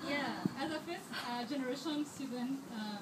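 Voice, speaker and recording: Young woman from rwanda giving a speech, highish voice, kinda far from the mic, echoey hall, noisy. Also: normal speed. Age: 20s. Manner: earnest, with frequent fillers and restarts. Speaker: scholarship student giving a speech. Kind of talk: prepared speech at a fundraiser. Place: event hall. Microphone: handheld microphone held too far away. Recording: echoey hall, distant mic, background noise.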